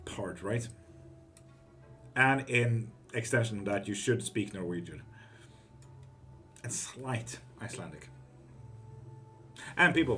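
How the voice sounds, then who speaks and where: Guy doing live tarot, chill and sweary, reads chat out loud, mid pitch, bit of music in the back. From Greece. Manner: relaxed and profane, with quick laughs. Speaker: live tarot reading streamer. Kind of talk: casual live-stream chat, reading comments aloud.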